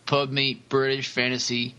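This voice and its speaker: A man with an average-pitched, sharp and bright voice chats casually as a podcast co-host. He talks in a halting way, doubling back on his words. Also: level tone, smooth, dull audio. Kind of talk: casual chat.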